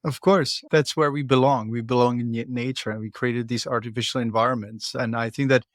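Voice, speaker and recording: A man's voice: medium pitch, steady tone, brisk, no room sound. Czech-accented man hosting a podcast, dry sound. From Czech Republic. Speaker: podcast host. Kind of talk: casual conversational interview.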